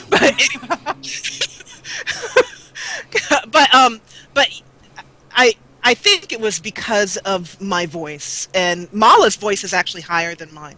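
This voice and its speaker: A woman's voice: takes it slowly, pauses often, pushed and bright. A woman talking casually as a guest on a show about gaming videos and role-playing. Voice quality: low-voiced. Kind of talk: casual chat.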